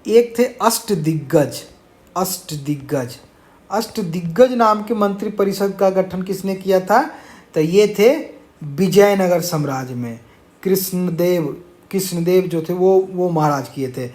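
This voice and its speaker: A man's voice: sits high, a little slow, darkish tone. A man teaching an informal exam-prep lesson, explaining answers and mixing in English words.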